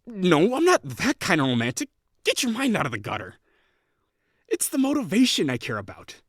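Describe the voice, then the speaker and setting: A man's voice: high-pitched, wildly swooping pitch, a bit bright, dry recording, clean studio sound, heavily acted. A young man playing a haughty, sarcastic college rival who is secretly lonely, in a scripted audio roleplay. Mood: wistful. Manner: sarcastic, scornful, quick to bristle.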